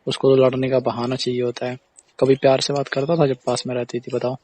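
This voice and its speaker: A man's voice: medium pitch, flat delivery, quick pace, warm tone, natural voice. A man playing a reassuring, familiar friend in a casual phone-call drama, talking colloquially with frequent questioning tags. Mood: coaxing, advising. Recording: clear background noise on recording.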